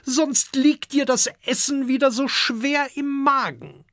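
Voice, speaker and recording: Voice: high-pitched, steady tone, slow, a bit bright, no echo, studio quality, pitched above the performer's own voice, heavily acted. A storybook narrator voicing a bossy but soothing female dragon, a mother and wife, in a scolding, no-nonsense manner.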